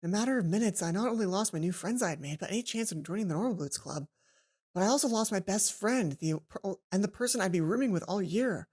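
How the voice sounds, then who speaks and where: A woman reading a visual novel aloud as a let's player, with expressive, dramatic storytelling. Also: mid-range voice, fast talker, breathy edge.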